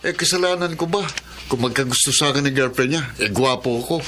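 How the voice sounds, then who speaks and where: A man's voice: mid-range voice, fast, background noise, natural voice. An actor playing a boastful, self-satisfied young man in a radio drama. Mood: smug, boastful. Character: boastful, vain young man, cocky boyfriend and son.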